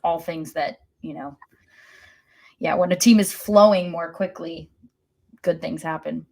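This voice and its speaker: A woman's voice: on the low side, steady tone, measured pace, vocal fry, darkish tone. A woman co-hosting a sports podcast, describing soccer play casually and sometimes searching for her words.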